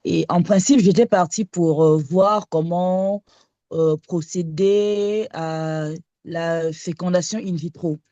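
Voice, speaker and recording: Woman late 30s calling in, mellow low-ish voice, halting and unsure, lots of ums, dry sound. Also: steady tone, no room sound, highs cut off. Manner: hesitant, halting with fillers. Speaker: caller asking a question. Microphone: online video call.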